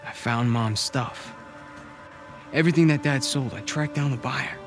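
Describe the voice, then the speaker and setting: An actor playing a cocky, protective teenage older brother, speaking casual, persuasive American English in a video game scene. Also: male voice, medium pitch, measured pace, over music, natural voice. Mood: conspiratorial. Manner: casual, persuasive, street-smart. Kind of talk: cinematic video game acting, naturalistic dialogue.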